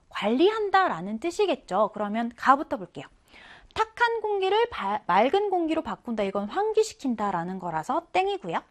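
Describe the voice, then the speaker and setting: A woman teacher giving a children's reading lesson, explaining in a coaxing way and asking questions that she then answers. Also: high-pitched.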